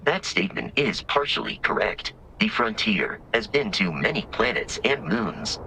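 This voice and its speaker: Low-pitched, formal male robot companion. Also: animated, normal speed, vocal fry, sharp tone, a little hiss or hum, exaggerated voice, rough recording. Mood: matter-of-fact. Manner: formal, precise, matter-of-fact delivery. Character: polite, literal-minded male robot companion, calmly formal. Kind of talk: scripted video game dialogue.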